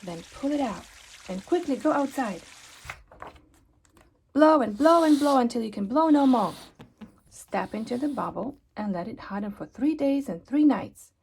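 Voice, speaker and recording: A woman voicing a mysterious seller of magic remedies in a children's storybook, deliberate and salesmanlike. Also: fairly high pitch, animated, gravelly, darkish tone, slight background noise. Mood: instructive.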